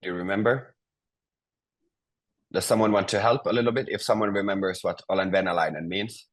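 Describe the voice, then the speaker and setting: Low-voiced patient male language teacher. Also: fairly even intonation, brisk. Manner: patient, prompting students, explains step by step. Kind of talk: teaching an online class.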